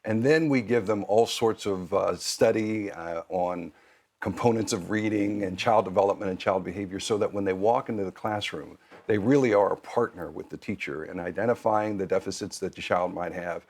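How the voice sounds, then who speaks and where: A man over fifty giving measured, articulate explanations as an interview guest. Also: deep voice, darkish tone. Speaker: nonprofit program leader, interview guest.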